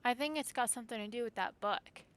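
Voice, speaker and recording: A woman's voice: on the high side, fast. A woman giving casual livestream commentary as a game streamer, chatting in an informal, rambling way.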